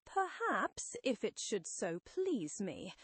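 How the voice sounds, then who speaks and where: A woman's voice: mid-range voice, very animated, slow, slightly rough, no room sound, exaggerated voice. A woman voicing an imperious armored male supervillain who speaks in a pompous, grandiose, coldly boastful way. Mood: gloating.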